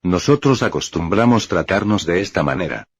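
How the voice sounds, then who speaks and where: A man reading the lines of a gentle female alien healer, speaking softly and in a measured, kindly way. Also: low-pitched, expressive, breathy edge, no echo. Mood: serene. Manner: soft, measured, kindly speech. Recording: dull lo-fi dry recording.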